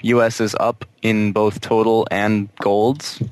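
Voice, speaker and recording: A man's voice: fairly low pitch, flat delivery, slow, no echo. A man co-hosting a podcast, bantering and teasing his co-host in casual chat.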